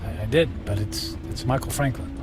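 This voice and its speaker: A man acting as a rich, self-absorbed businessman, weary and brooding. He speaks haltingly at a middling pitch in radio drama style, with music behind him. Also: natural voice. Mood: guarded, weary.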